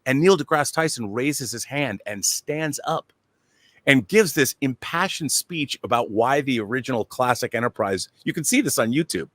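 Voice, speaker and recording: A man telling anecdotes in a casual, excitable and emphatic way on a pop culture talk show. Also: mid-range voice, normal speed, no room sound.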